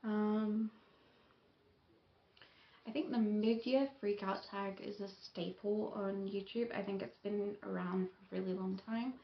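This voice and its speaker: A young woman chatting casually on her book vlog, with plenty of ums and soft laughs. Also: mid-range voice, vocal fry. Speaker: book YouTuber. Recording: echoey room, dull lo-fi sound, faint hiss.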